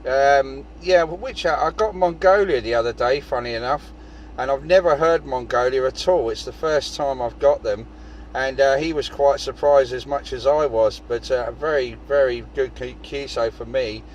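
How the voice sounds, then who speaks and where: A British man with an average-pitched voice is having a casual amateur radio chat. He rambles along conversationally. Noise from the vehicle and outdoors sits around his voice. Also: moderate pace, lo-fi sound. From United Kingdom.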